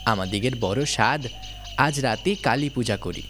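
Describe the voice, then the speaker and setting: A man's voice: medium pitch, a little slow, music in the background, natural voice. A man playing a strapping, respectful young village petitioner in an audio story, deferential and rustic in his old-fashioned phrasing. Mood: humble, earnest. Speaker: voice actor. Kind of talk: dramatised audio story dialogue.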